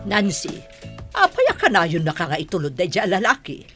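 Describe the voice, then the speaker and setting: A woman's voice: fairly low pitch, huge pitch swings, normal speed, a bit bright, soft background music. A woman with an Ilocano Filipino accent acting a stern, scolding older village woman in a radio drama.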